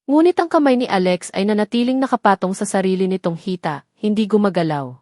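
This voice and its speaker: A woman's voice: medium pitch, expressive, fairly quick, darkish tone, no echo, studio quality. A woman narrating a scripted romantic drama story in a solemn, dramatic manner.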